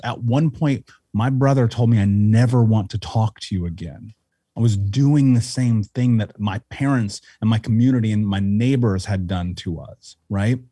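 Blunt, husky man swearing freely. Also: on the low side, mellow, no room sound, clean studio sound. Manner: blunt, intense, profane. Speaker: podcast guest. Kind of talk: casual podcast conversation.

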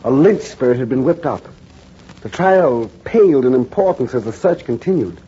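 An actor playing a reporter who narrates his own ordeal in measured, grave first person, in a 1940s radio drama. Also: medium pitch, lively intonation, dark tone, breathy edge. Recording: dull 1940s radio broadcast recording with music.

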